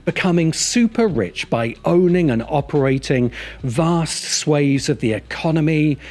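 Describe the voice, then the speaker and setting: A man reading scripted video essay narration in a measured, emphatic and wry manner. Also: a little high, lively intonation, a little mellow. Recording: music bed under the voice. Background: background music.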